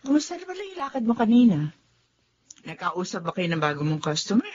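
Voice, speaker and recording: A woman voicing a fussy, doting mother and former teacher with a husky, exclamatory manner. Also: mid-range voice, big pitch swings, a little fast, a little mellow, dull audio.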